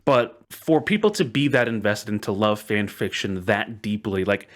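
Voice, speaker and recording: A man's voice: lowish voice, moderate pace. Enthusiastic male podcast host, emphatic storyteller. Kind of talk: casual storytelling podcast chat.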